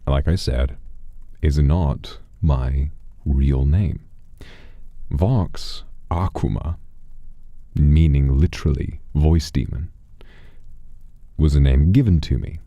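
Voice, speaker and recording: Very deep, dark-voiced man telling a story. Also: dry recording. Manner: theatrical, deliberate phrasing with dramatic pauses. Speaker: streamer telling a story in persona as a demon. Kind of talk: slow atmospheric first-person storytelling to a livestream audience.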